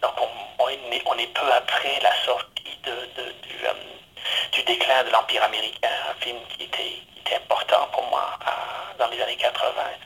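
A man from Alberta with a gravelly voice and a bright, forward tone talks in a radio interview. He speaks haltingly, restarting phrases and repeating words as he searches for them. His pitch stays in a middling range. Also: steady tone, rough recording. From Alberta, Canada.

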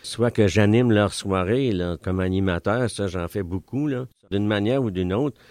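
A man's voice: on the low side, normal speed, a little mellow, no room sound. An older Franco-Ontarian man with a Franco-Ontarian accent, chatting as a guest in a radio studio, rambling with many fillers. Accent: Franco-Ontarian French. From Ontario, Canada. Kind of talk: casual interview answers. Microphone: studio mic.

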